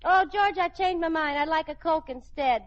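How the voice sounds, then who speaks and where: An actress playing a playful, scheming sitcom wife in an old radio comedy, with a coy, wheedling delivery. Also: female voice, extremely high pitch, normal speed. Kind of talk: 1940s-50s radio sitcom acting. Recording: dull old radio recording.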